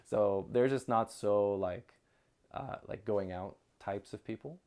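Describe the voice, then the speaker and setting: A man chatting casually as an interview guest, with an animated storytelling manner. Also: on the low side, slow. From outside Japan. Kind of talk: casual chat.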